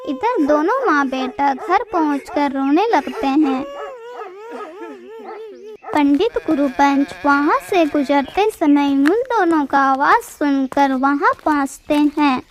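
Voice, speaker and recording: A woman's voice: high voice, expressive, slow, a crowd in the background. A woman reading scripted narration for a cartoon story.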